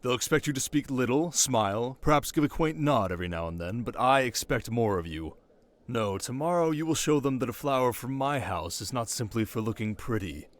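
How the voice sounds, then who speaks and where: A man playing a young, sharp, commanding desert king in an ASMR roleplay, speaking in a measured, teasing, possessive way with dramatic pauses. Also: medium pitch, lively intonation, close to an everyday voice. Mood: visionary, intense. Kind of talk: scripted ASMR roleplay addressed to the listener.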